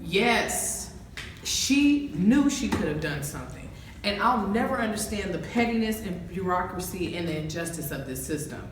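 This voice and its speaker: A woman's voice: fairly low pitch, animated, moderate pace, lightly acted. A woman acting an earnest, principled college counselor in an amateur courtroom drama. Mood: bitter. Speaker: actor in an amateur student film. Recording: echoey, distant, noisy room.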